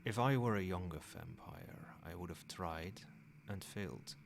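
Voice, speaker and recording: Guy doing an old vampire sire for asmr, deep n soft, formal and measured, caring but possessive, bit of background noise. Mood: brooding, restrained. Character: centuries-old male vampire sire, soothing, possessive caretaker. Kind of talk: ASMR roleplay.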